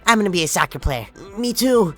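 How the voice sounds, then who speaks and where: A man voicing an excitable, childlike little boy dreaming of soccer in a manga story. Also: high voice, fast talker, soft background music, pitched above the performer's own voice. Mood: eager. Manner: eager, childlike.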